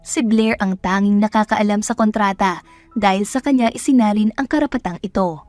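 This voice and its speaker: A woman's voice: mid-range voice, a little fast, darkish tone, no room sound, faint music behind. A woman with a Filipino accent reading scripted story narration as a storyteller.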